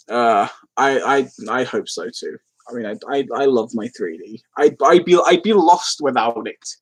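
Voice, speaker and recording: A man's voice: mid-range voice, a little slow, slightly rough. Giggly man chatting on a live stream. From London, England. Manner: rambling, easily amused, giggly. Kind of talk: casual chat, answering live comments.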